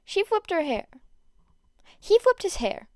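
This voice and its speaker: A woman narrating a fan fiction story aloud with expressive delivery. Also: extremely high pitch, measured pace, dry recording, clean studio sound.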